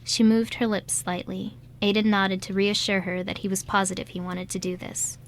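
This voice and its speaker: A woman's voice: medium pitch, little pitch movement, normal speed. A woman reading scripted third-person narration as the narrator of an amateur radio drama. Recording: light background noise and ambience.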